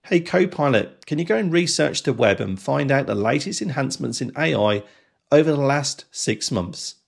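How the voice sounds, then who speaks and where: A man in his forties presents a software tutorial to the viewer. His pitch sits in the middle and moves up and down a great deal as he explains. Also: animated, moderate pace, vocal fry, slightly rough. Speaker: tutorial host.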